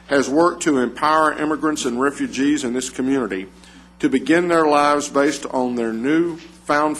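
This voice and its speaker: A man's voice: mid-range voice, a bit bright, low-quality recording. Man chairing a county meeting. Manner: measured, formal reading cadence. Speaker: county judge chairing the court. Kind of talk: formal meeting procedure and proclamation reading.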